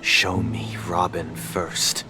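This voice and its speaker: A man's voice: sits low, level tone, very slow. An actor playing a controlled, suspicious man in a game, coldly demanding answers. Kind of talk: video game cutscene voice acting. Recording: background music under the voice.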